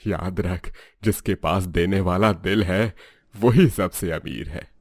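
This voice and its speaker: A man's voice: sits low, a little mellow, breathy edge, no echo, studio quality, exaggerated voice. A man playing a humble, grateful old beggar in a storybook drama, speaking with grandfatherly tenderness. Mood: grateful, moved. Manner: slow, pleading, blessing. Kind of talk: storybook audio drama acting.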